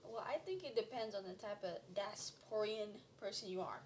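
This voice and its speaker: A woman guest in a casual conversation who talks in an animated way, laughs easily and fills her speech with "like" and "you know.". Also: mid-range voice, normal speed, slight background noise. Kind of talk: casual podcast chat.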